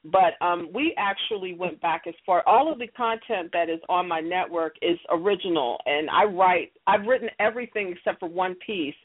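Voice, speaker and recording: A woman's voice: lowish voice, normal speed, hardly any pauses, husky. A woman telling stories casually as an interview guest, heard over a tinny telephone line. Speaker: interview guest, audio drama producer. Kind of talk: casual conversational storytelling.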